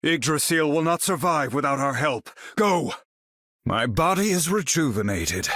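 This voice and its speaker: An actor playing a proud, battle-ready sorcerer hero in a video game, speaking in a grandiose, formal, theatrical manner. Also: male voice, on the high side, animated, unhurried, a bit bright, dry recording, clean studio sound. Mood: commanding, urgent, then proud, solemn. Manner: grandiose, formal, incantatory delivery. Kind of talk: video game character voice lines.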